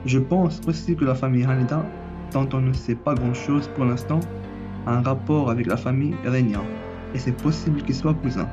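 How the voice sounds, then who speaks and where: A man's voice: mid-range voice, a little fast, highs cut off, music in the background. A man with a cold, reading his own theory video as a channel host, with small restarts. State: has a cold. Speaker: anime and manga YouTuber.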